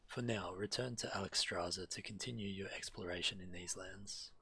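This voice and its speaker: Deep-voiced man streaming a game. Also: steady tone, moderate pace, pushed and bright, husky. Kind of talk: reading quest text aloud and casual game commentary.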